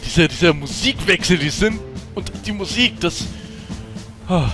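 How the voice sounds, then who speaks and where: A man's voice: high voice, a little slow. Teasing man commenting over game music. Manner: teasing, wisecracking. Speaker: let's play commentator. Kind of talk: casual joking commentary. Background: background game music.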